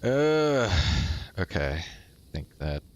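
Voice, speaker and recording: A man's voice: fairly low pitch, very animated, drawn-out words, lo-fi sound. A man hosting a livestream, chatting casually and muttering to himself with some swearing. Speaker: livestream host.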